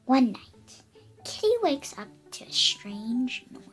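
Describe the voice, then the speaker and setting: A woman's voice: a little high, big pitch swings, takes it slowly, slightly rough, faint music behind, low-quality recording. An eight-year-old girl reading a children's picture book aloud expressively and carefully, stumbling over some words. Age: child, about eight. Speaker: young storybook reader.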